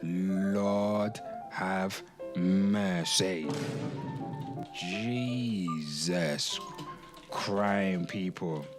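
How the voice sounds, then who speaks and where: A man hosting an online show, giving a dramatic reading with off-the-cuff commentary, drawn-out exclamations and asides to his listeners. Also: low voice. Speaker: host of an online pop culture show. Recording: music playing under the voice. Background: music.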